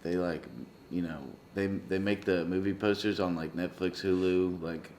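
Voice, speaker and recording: A man's voice: deep voice, little pitch movement, normal speed, darkish tone, a little hiss or hum. A young man co-hosting a crude, casual podcast chat. He is laid-back and hungover, and he agrees and chimes in easily. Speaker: podcast co-host. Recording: roomy echo.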